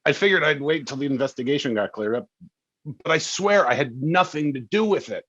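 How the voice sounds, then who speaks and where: A man's voice: medium pitch, lively intonation, a little fast, a bit bright, muffled recording. An actor playing an evasive, defensive wealthy man at a screenplay table read, hesitant and stammering.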